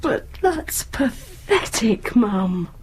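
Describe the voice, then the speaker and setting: An actress playing a concerned, exasperated grown-up British daughter in a naturalistic radio drama, probing and direct. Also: female voice, a little high, sing-song, extremely slow, slight background noise, full character voice, low-quality recording. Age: young adult.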